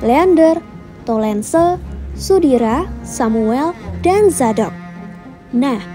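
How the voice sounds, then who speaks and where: High mellow woman narrating documentary. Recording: voice-over with background music.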